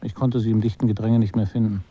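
An actor playing a man who narrates his memories in a literary radio drama, measured and understated, in slightly muffled sound. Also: lowish voice, level tone, natural voice. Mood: reflective. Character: male narrator recalling a woman he knew.

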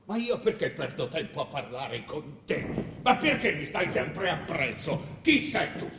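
An old king in a theatrical radio drama, imperious yet bewildered. His voice is high and unhurried, full of insistent, declamatory questions. It carries from far off in a reverberant hall. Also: sing-song. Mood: indignant, preachy. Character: forgetful, imperious old king, confused and defiant. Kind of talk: theatrical stage-style radio drama acting. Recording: distant, echoey hall with hiss.

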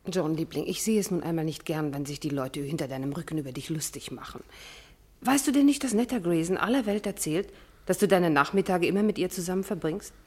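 Husky scorned mistress, proud and spiteful. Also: female voice, lowish voice, brisk, darkish tone. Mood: spiteful, needling. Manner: theatrical, wheedling, pointed. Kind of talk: radio play acting.

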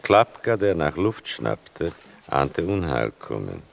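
An older man giving a literary scripted narration in a measured, wry, deliberate manner. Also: rumbling low, very animated, creaky, a little hiss or hum.

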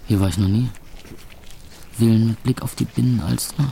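A man's voice: lowish voice, steady tone, moderate pace, vocal fry, dark tone, slight background noise. An actor playing a disillusioned young man who narrates his inner monologue in an audio drama, sardonic and clipped. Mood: wry, observing. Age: young adult. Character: wry, disillusioned young night-life narrator thinking aloud.

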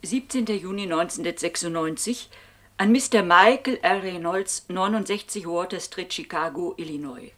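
An actress in a German radio play playing a formal, impersonal secretary reading an official letter, businesslike and precise. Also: female voice, medium pitch, fairly bright tone, lightly acted.

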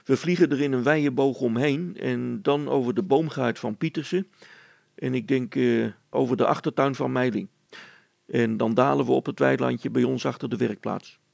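Man playing a gravelly, practical storybook father. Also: mid-range voice, moderate pace, darkish tone, dry recording. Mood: calm, practical. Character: practical, gruff middle-aged father and tinkerer.

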